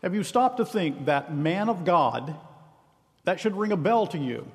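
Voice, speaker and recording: A man's voice: high voice, expressive. Earnest preacher man in echoing church. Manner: earnest preaching with emphatic slow phrases. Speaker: minister. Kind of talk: sermon. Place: church sanctuary. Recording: long reverb of a large hall.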